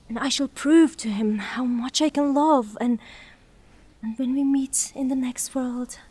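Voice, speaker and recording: An actress playing a proud, grieving young widow, stubbornly faithful and melodramatic, in a theatrical audio drama. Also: female voice, a little high, darkish tone, husky.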